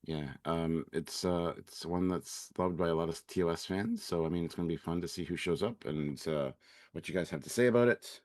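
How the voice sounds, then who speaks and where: A man co-hosting a live review show, laid-back and halting, his voice raspy from a cold. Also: low voice, darkish tone, no room sound, studio quality. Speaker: co-host. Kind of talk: live-stream review chat.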